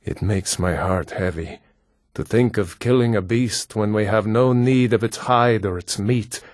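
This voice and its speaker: An actor playing a proud, solemn Nord hunter and warrior in a video game, speaking in a measured, formal way. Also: male voice, on the low side, a little mellow, no room sound, studio quality, close to an everyday voice. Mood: earnest, measured. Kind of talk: scripted video game character dialogue.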